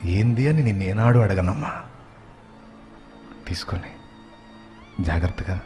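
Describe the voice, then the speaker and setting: Man doing a soft-spoken loving old mother-in-law. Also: expressive, measured pace, soft background music. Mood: tender. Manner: soft, low, tender. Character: loving elderly mother-in-law, gentle and trusting.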